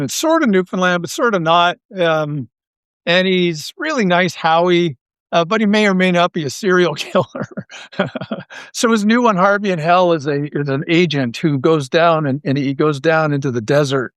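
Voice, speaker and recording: A man's voice: a little high, normal speed, no room sound, studio quality. A man talking casually as a podcast guest, rambling along with enthusiasm. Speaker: podcast guest, audio drama creator. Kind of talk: casual interview chat.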